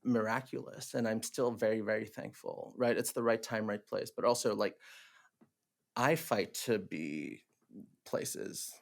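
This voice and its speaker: A man from Hong Kong whose first language is Cantonese, a theatre director in casual podcast conversation, animated and laughing often, with many fillers and restarts. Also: fairly low pitch, unhurried, gravelly.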